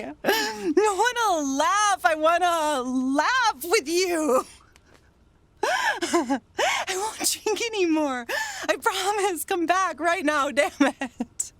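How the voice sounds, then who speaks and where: A volatile, high-voiced, lonely wife. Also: female voice, fairly bright tone, dry recording, exaggerated voice. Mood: pleading, lonely. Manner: theatrical, swinging between outbursts and confiding murmurs. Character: volatile lonely wife, newly sober, manic then fragile. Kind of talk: emotional audio drama monologue.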